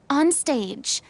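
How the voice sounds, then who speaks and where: A woman's voice: high voice, background noise, heavily acted. An actress playing an exasperated, principled young woman performer in a video game, speaking in articulate, emphatic lectures.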